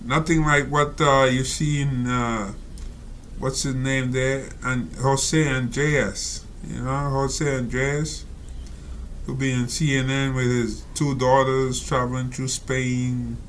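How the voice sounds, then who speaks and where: A man reading out and commenting on a reader's letter, talking slowly and rambling with many fillers and asides. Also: mid-range voice, little pitch movement, fairly bright tone, a little hiss or hum. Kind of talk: casual spoken commentary.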